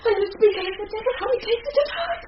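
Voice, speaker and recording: A woman's voice: extremely high pitch, lively intonation, fast talker. An actress playing a mischievous serving woman, teasing and full of feigned alarm, in Shakespearean radio drama. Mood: mock alarm. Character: mischievous, mocking serving woman stoking a prank. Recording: dull noisy early-1990s radio drama recording.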